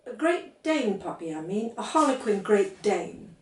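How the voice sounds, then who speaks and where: A woman's voice: mid-range voice, big pitch swings, measured pace, recorded from a distance. A woman voicing an eager, insistent seven-year-old girl in a read-aloud story, earnest and bossy. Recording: roomy, set back from the mic.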